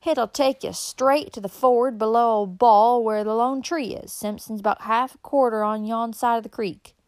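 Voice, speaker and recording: A woman's voice: fairly high pitch, fairly even intonation, normal speed, a little mellow, dry recording, voice pushed up, close to an everyday voice. A woman voicing a brash, folksy mountain woman from the backwoods, calling out in a drawling rural Southern dialect for a storybook. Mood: loud, folksy.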